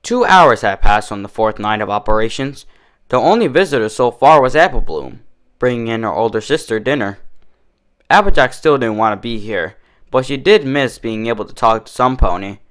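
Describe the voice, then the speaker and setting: A man narrates a scripted story at an average pitch. His intonation is lively and varied, as a fan-fiction reader telling a tale. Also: normal speed.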